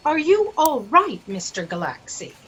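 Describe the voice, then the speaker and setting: An actress as an imperious female editor-in-chief in a space satire. Her highish voice is bright and very animated, handing out orders briskly and curtly. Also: slightly rough, heavily acted. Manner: brisk, commanding. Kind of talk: comic sci-fi audio drama acting.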